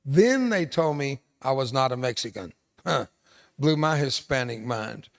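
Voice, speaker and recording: A man's voice: mid-range voice, expressive, measured pace, creaky, a bit bright. An older man from South Texas whose first language was Spanish, giving an emphatic motivational speech to an audience and repeating his key lines. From South Texas, USA. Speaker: veteran speaker, resiliency trainer.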